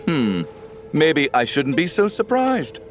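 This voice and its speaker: A man's voice: fairly high pitch, sing-song, slow. A man playing a pompous, blustering, suspicious rich windbag in a video game, theatrical and accusatory. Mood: indignant. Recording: background music.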